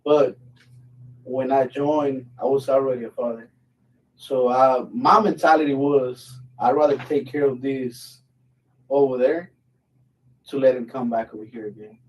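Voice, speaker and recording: A man's voice: mid-range voice, fairly even intonation, unhurried, a little hiss or hum. Blunt, cursing veteran man, distant mic. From Mexico. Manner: blunt, swears freely, easygoing storytelling. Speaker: military veteran guest, channel host. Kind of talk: casual live chat.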